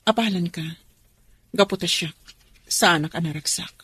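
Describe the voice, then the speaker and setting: A woman's voice: on the low side, a little slow, fairly bright tone, husky. A woman playing a long-suffering, anxious wife and mother in a radio drama, speaking earnestly and pleadingly. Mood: wistful.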